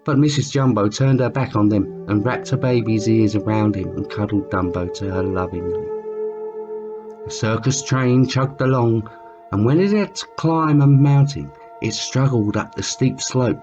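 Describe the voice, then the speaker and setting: A man reading a children's story aloud as a storyteller, with theatrical, drawn-out emphasis. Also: mid-range voice. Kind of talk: expressive read-aloud storytelling. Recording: muffled lo-fi sound with music behind. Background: music.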